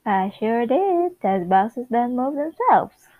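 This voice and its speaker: A woman's voice: a little high, sing-song, slow, dry recording, narrow, tinny audio, voice pushed up. A woman playing a polite, evasive young sitcom newlywed wife with a prim, earnest way of talking. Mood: friendly.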